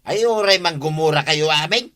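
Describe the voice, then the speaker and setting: A man's voice: on the high side, normal speed, background noise, close to an everyday voice. A man playing a drunken, belligerent village troublemaker in an Ilocano comedy radio drama, with an Ilocano accent. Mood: stubborn, mocking. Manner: belligerent, boastful.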